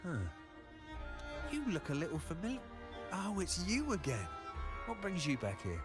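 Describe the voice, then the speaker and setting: Animated, inquisitive man, over music. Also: high-pitched, moderate pace. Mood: curious. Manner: inquisitive, conversational. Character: chatty, curious man in a fantasy game. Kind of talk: video game voice acting.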